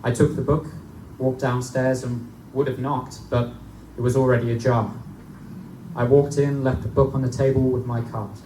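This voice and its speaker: A man's voice: mid-range voice, flat delivery, normal speed, dark tone, close to an everyday voice. Man acting earnest young scholar, echoey distant recording. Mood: grave, measured. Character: Smith, earnest studious young male Oxford student recounting eerie events. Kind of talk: live dramatic reading of gothic pulp tale. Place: live stage reading in a bookstore. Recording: echoey live recording, distant mic, room noise.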